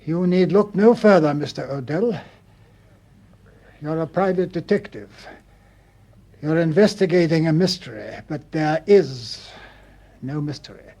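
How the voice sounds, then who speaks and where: Stiff older military man, played by a male actor, higher-voiced, slow and fixated, radio drama moralizer. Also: lively intonation, warm tone. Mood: grave, accusing. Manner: slow, repetitive, solemn pronouncements. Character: stiff, obsessive older colonel, drugged and moralizing. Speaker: actor in a detective audio drama.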